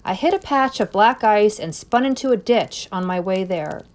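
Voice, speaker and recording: Female narrator as anxious man on the phone, urgent, rushed, insistent, suspense story character. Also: medium pitch, expressive, a little mellow, lightly acted. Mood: rushed, worried.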